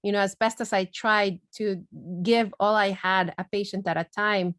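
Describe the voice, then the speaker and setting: A woman's voice: medium pitch, steady tone, moderate pace, fairly bright tone, no room sound. A middle-aged woman from Guatemala, a neurologist giving an earnest webinar talk and answering questions, with many fillers and repeated words. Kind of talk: webinar presentation and Q&A.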